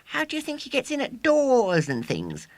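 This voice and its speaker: A boastful little schoolboy showman from a children's storybook. The voice is shrill and far up in pitch, swinging wildly with scornful, emphatic bragging. The sound is dry. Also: wildly swooping pitch, normal speed, no room sound, exaggerated voice. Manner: exclamatory, bragging, emphatic delivery.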